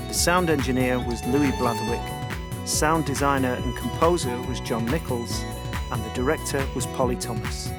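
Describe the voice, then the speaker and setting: Man reading credits over loud music. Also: mid-range voice. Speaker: credits announcer. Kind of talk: scripted credits read for an audio drama. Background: loud music with guitar.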